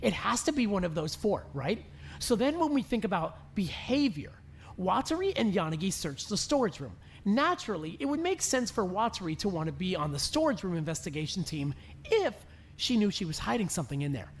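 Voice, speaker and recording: An actor voicing a smug, accusing young male student in an animated murder mystery, deliberate and taunting. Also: medium pitch, slight background noise. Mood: calm, reasoning.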